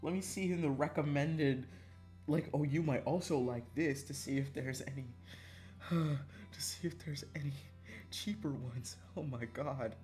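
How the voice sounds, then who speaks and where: A game streamer chatting casually and excitably, swearing freely and swinging between calm asides and mock-angry outbursts. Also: medium pitch, a little slow. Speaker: livestreamer. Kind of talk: casual chat while playing a visual novel. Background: background music.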